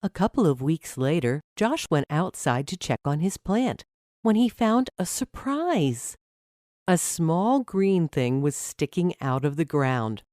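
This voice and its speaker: Woman, children's story narrator, low pitch, unhurried, very animated intonation, dry close sound. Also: dry recording.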